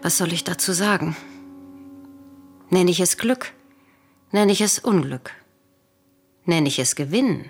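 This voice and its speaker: A woman playing a proud, cold queen in a classical tragedy radio play, with measured, imperious declamation. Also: lowish voice, animated, slightly rough, soft background music, exaggerated voice. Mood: conflicted. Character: proud, cold queen and mother, scornful of her daughter.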